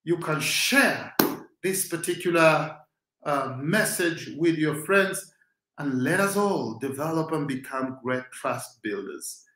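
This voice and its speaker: A man's voice: a little high, expressive, slow, fairly bright tone, reverberant, low-quality recording. A Kenyan man with a Swahili-speaking background, giving a rousing, preacher-like motivational talk as host.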